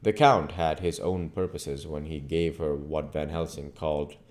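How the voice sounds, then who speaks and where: A man reading a classic novel aloud as an amateur audiobook reader, in a measured, formal way. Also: rumbling low, fairly even intonation, a little mellow.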